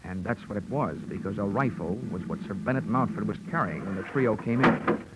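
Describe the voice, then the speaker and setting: An actor playing a wry, hard-boiled insurance investigator with an American accent and deadpan wit, in 1940s radio detective drama style. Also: male voice, fairly low pitch, a little fast, very noisy, natural voice. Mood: measured narration. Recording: old 1940s radio broadcast recording.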